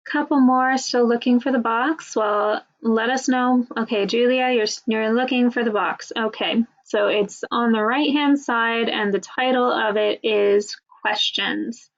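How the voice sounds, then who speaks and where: A woman's voice: a little high, fairly even intonation, measured pace, few pauses. A woman moderating a webinar, giving friendly instructions to attendees and introducing the speaker. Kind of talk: hosting a webinar. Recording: dull lo-fi webinar audio.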